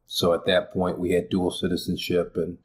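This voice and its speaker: Deep flat-voiced man, earnest coach. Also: moderate pace, a little hiss or hum. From Liberia. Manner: earnest, many "you know" and "uh" fillers. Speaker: college basketball head coach, guest. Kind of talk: podcast interview, reflective storytelling.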